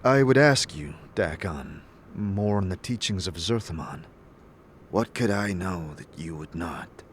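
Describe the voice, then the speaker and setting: A man playing a thoughtful, sincere wanderer on a quest in a fantasy audio drama, earnest and a little halting. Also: sits low, animated, a little hiss or hum. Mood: earnest request.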